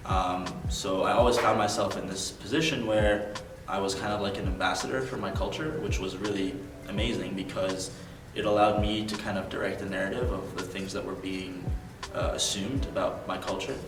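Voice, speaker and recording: Young male interviewee, earnest and reflective, fillers, low-set voice, recorded at a distance. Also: fairly even intonation, normal speed, few pauses, roomy sound, over music. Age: young adult. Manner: earnest, thoughtful, many ums and likes. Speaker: interview guest.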